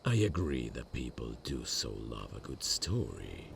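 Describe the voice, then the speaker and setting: Man voicing a very deep, gravelly demon king. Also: big pitch swings, noisy background, full character voice. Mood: amused, intrigued. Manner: slow, courtly, formal and deliberate. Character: imposing, amused male demon king, courting a human queen. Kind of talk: ASMR romantic fantasy audio roleplay.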